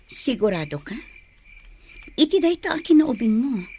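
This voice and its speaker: A woman's voice: on the high side, very animated, normal speed, warm tone, a little hiss or hum. A woman with an Ilocano accent playing a cautious elder-sister figure in a radio drama, serious and probing. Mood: doubtful, testing. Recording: music behind, insect ambience.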